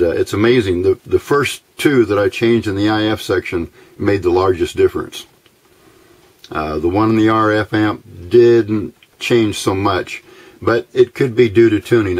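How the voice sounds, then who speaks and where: A man with a fairly low, rough-edged voice talks through a hands-on demonstration. His delivery is casual and unscripted, with halting stretches where he thinks out loud. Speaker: hobbyist presenter. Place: indoors at a workbench.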